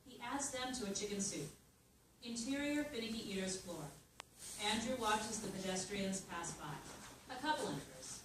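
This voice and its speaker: A woman reads scene directions at a table read in a steady, matter-of-fact voice. She is well away from the mic, in a strongly echoing room with clear background noise. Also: mid-range voice, normal speed, recorded from a distance. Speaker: narrator reading stage directions. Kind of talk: script table read narration.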